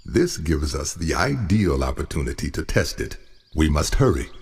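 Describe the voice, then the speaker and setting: A man voicing a grave, commanding guardian spirit in a video game, husky and solemn. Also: very deep voice, big pitch swings, moderate pace, full character voice. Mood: solemn urgency. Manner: solemn, authoritative. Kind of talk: video game cutscene acting. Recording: long reverb, music behind.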